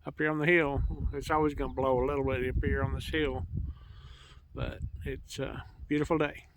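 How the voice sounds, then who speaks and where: A man's voice: mid-range voice, level tone, normal speed. An older man, chatting casually with laid-back, folksy asides as a vlog co-host outdoors. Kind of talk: casual chat. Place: outdoors on a breezy hilltop. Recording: clear outdoor background noise.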